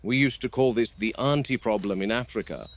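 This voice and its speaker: A man playing a stern, cautious game warden, speaking in a measured, matter-of-fact way as in a scripted found-footage field log. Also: mid-range voice, natural voice. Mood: measured, observant. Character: stern, cautious male game warden logging park animal observations.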